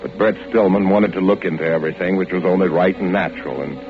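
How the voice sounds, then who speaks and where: An actor with an American accent playing an anxious, insistent husband, hesitant and intense, in 1940s radio style. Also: male voice, low voice, fast talker, natural voice. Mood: tense recounting. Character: anxious, insistent architect husband searching for his wife. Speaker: actor and narrator. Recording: dull old radio recording with music.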